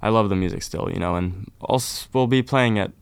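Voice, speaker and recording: A young man from Norway, a folk musician, chatting casually and warmly in an interview, with lots of "kind of" and "like". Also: on the low side, a little fast, clear, resonant tone, no echo. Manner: relaxed, friendly, uses fillers like "kind of, like".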